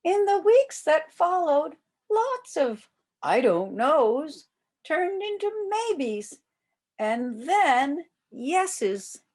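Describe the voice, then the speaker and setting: An older woman reading a children's storybook aloud as a volunteer, with expressive delivery aimed at young children. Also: high-pitched, extremely slow.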